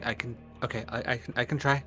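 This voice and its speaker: A man's voice: mid-range voice, fairly even intonation, fast, bright, forceful tone. A man chatting casually as a player in a tabletop roleplaying game. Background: faint music.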